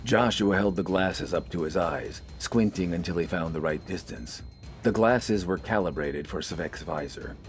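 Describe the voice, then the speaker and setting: Low-voiced man narrating dramatic fiction. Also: moderate pace, soft background music. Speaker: audiobook narrator.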